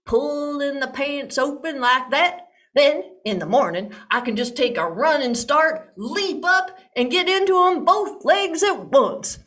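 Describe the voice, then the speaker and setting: Woman playing an old traveling salesman, folksy drawl, emphatic, slow-talking, storybook character. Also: sits high, a bit bright. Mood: boastful.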